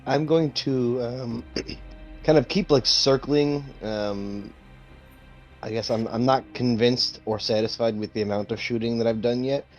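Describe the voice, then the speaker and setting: A man's voice: medium pitch, a little slow, faint music behind. A man chatting casually as a player in a tabletop game, thinking aloud hesitantly.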